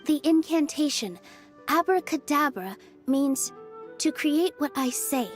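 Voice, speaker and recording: A gentle young female dancer from a video game. The voice is high and sincere, with an earnest delivery over faint background music. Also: lively intonation, measured pace. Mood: thoughtful. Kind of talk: English video game voice acting.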